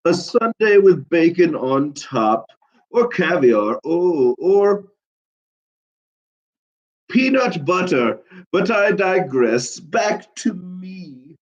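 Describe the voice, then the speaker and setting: A man's voice: high voice, very animated, voice pushed up, heavily acted. A man with a stuffy nose voicing a haughty, spoiled five-year-old female dog as he reads a children's book aloud. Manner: haughty, grand, drawn-out. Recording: dull lo-fi recording.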